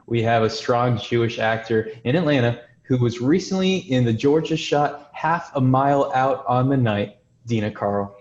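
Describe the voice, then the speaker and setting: A man's voice: medium pitch, darkish tone. Man narrating in a roomy space. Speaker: host and stage-direction narrator. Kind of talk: scripted introductions and screenplay narration. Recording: roomy, dull lo-fi sound.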